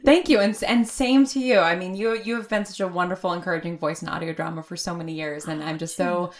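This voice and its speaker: Low-pitched, informal woman guest. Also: fairly even intonation, quick pace. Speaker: interview guest, audio drama creator. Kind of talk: casual interview chat.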